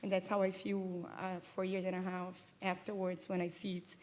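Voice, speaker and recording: A woman co-producer answering on a panel in an earnest, halting way, often restarting her sentences. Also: on the low side, monotone, a little mellow. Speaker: film co-producer.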